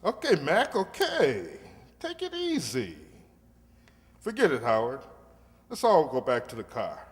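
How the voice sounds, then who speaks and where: A man plays a cocky, placating drug dealer in a radio crime drama. He is on the high side for a man and very animated in pitch, talking persuasively in an echoing hall. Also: measured pace, long pauses. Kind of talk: old-time radio crime drama acting. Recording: echoey hall.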